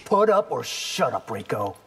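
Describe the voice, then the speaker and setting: A man's voice: medium pitch, very animated, unhurried, gravelly. A man voicing a cocky, determined young male ninja fighter in video game fight banter. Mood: cocky, defiant. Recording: long hall reverb, loud noise behind.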